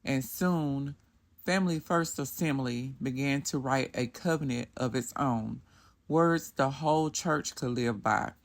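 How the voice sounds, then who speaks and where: A woman narrates a scripted faith story with a low, unhurried voice. Her reading is measured and reverent, lingering on each phrase.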